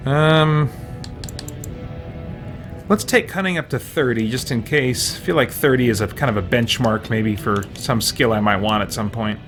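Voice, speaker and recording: A man's voice: mid-range voice, moderate pace. Man streaming a game over music. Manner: thinking aloud, musing to himself. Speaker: host of a gaming let's play stream. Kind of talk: casual live gameplay commentary, answering viewer questions. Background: video game music.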